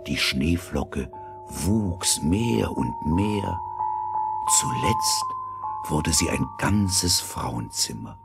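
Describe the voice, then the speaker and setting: A man narrating a fairy tale as a measured, expressive storyteller with a deep husky voice. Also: loud music behind the voice, heavily acted. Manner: deliberate, expressive.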